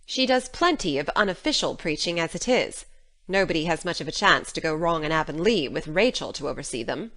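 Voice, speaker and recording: Older practical guardian, played by an actress, slightly low voice, measured and dry, kindly under the sternness, storybook drama. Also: female voice, expressive, fairly bright tone. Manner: dry, measured, matter-of-fact. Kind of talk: dramatic reading of a classic novel.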